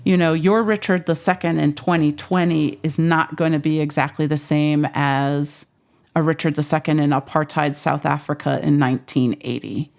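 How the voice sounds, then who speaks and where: A woman speaking as a guest scholar, giving conversational academic commentary in thoughtful, measured phrases. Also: sits low, fairly even intonation, vocal fry, darkish tone.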